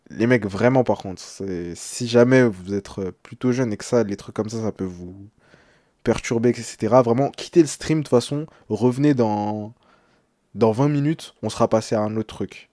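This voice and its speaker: A man's voice: fairly low pitch, dark tone, dry recording. A young man speaking as a streamer in casual live reaction, translating text aloud with slangy, hesitant, uneasy commentary.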